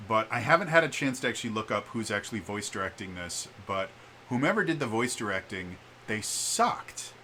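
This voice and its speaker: A man giving a casual, opinionated vlog, with an expressive, emphatic delivery that shifts in volume and a stutter that catches on some words. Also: lowish voice, a little fast. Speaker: vlogger. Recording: background room noise.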